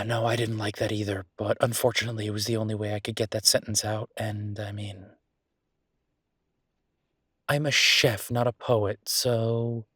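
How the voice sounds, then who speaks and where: A man's voice: on the low side, a bit bright, husky, no room sound. Man playing a chatty, fondly grumbling young chef boyfriend, close and dry. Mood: grumbling, weary. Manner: chatty, playfully grumbling, halting with fillers. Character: affectionate, grumbling young male werewolf chef, cozy boyfriend. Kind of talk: intimate boyfriend roleplay audio, casual one-sided conversation.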